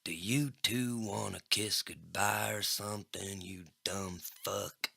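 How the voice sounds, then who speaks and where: A man's voice: low-pitched, fairly even intonation, takes it slowly, vocal fry, sharp tone, husky, no echo, studio quality, exaggerated voice. A man playing a crude, sadistic male government agent in a comedy sci-fi audio play, drawling with gleeful menace. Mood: mocking. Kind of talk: comedy science fiction audio play dialogue.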